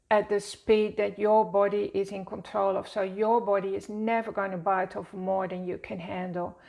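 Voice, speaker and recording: A woman with a Dutch accent guiding a meditation lesson in a slow, gentle, soothing way, seated and speaking to camera. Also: mid-range voice, creaky. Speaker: wellness teacher. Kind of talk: guided meditation teaching. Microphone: seated at a desk, speaking to camera.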